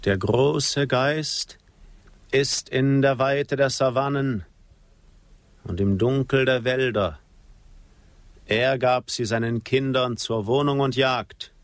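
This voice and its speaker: An actor playing a proud, sorrowful Apache chief in a radio drama, with solemn, poetic delivery. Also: male voice, mid-range voice, no echo, natural voice. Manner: solemn, poetic, measured declamation. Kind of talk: German radio drama acting.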